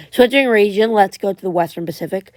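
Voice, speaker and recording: A woman giving a casual tropical weather update, her voice going hoarse as she keeps clearing her throat and restarting her sentences. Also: mid-range voice, no echo, studio quality. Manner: halting, many restarts and fillers. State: losing voice, frequent throat clearing. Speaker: amateur weather forecaster.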